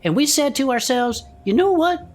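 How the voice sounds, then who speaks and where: A woman's voice: high voice, animated, normal speed. A woman playing a folksy retired adventurer gnome who co-hosts a podcast in an audio drama, with an exclamatory manner. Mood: enthusiastic.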